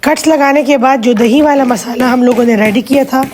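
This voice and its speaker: A woman hosting a cooking vlog with a hoarse voice, explaining each step patiently with chatty asides. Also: high voice, a little fast, noisy background. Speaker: cooking channel host.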